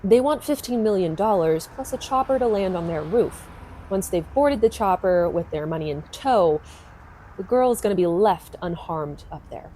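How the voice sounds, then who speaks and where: A woman's voice: mid-range voice, expressive, moderate pace, warm tone, slight background noise, lightly acted, low-quality recording. A woman playing a tough, wry homicide detective in an audio drama, dry and no-nonsense. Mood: briefing, tense.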